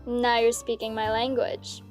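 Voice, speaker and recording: An actress playing a world-weary, independent demon hunter in a scripted audio drama, dry, blunt and sardonic. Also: female voice, fairly high pitch, completely flat delivery, a little slow, lightly acted. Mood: dry, confident. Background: music.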